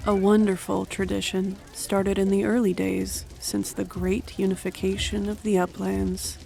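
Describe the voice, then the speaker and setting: Narrator role: solemn guardian recalling her past, deliberate, level and creaky, audio drama. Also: medium pitch, little pitch movement, mellow. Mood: solemn, absorbed. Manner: deliberate, descriptive storytelling. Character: female guardian recounting her own memories, solemn. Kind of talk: scripted first-person dramatic narration.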